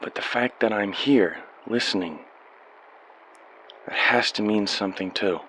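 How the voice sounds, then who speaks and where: Man acting a young painter, radio play, deep voice, earnest, lovestruck, plain-spoken, faint background hiss. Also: a little slow, sharp tone, low-quality recording. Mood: tender, pleading.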